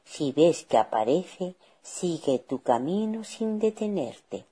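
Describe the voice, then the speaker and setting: Casting: woman as caring fairy-tale mum, mellow and slightly husky, softly instructing. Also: on the low side, very animated, slow pace, heavily acted. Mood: caring, cautioning. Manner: gentle, cautioning. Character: caring, confident mother advising her young daughter.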